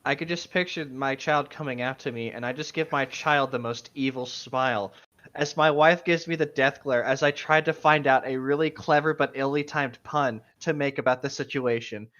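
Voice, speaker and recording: A man's voice: mid-range voice, steady tone, moderate pace, a bit bright. A man co-hosting a casual chat, teasing and joking wryly with some swearing. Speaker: co-host reading and mocking fan fiction. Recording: slightly dull, lo-fi mic.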